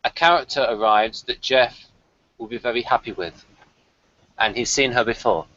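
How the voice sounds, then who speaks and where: A man's voice: medium pitch, moderate pace, fairly bright tone, lo-fi sound. A man hosting a casual review, reading his notes aloud with dry, jokey asides. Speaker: podcast host reading out his episode review.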